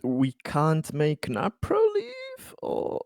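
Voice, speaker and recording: A man's voice: a little high, wildly swooping pitch, drawn-out words, gravelly, darkish tone, no echo, studio quality. A man chatting casually as a player in a tabletop game.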